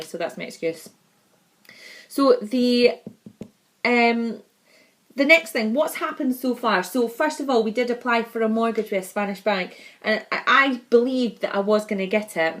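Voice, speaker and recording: A young woman with a Scottish accent, chatting casually and bubbly to camera in her vlog, laughing often. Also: medium pitch. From Scotland. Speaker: vlogger.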